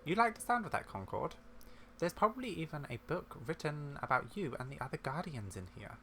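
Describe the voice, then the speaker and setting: A man's voice: on the high side, big pitch swings, fairly quick, fairly bright tone. A man reading fantasy game quest dialogue aloud in a dramatized, storybook-like way. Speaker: gaming video creator. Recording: noticeable background noise.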